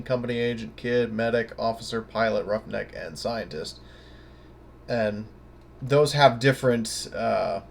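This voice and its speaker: Male tutorial presenter, fairly low pitch, informal and halting delivery as he thinks out loud, slight background noise. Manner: hesitant, halting, thinking aloud. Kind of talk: casual unscripted screen tutorial.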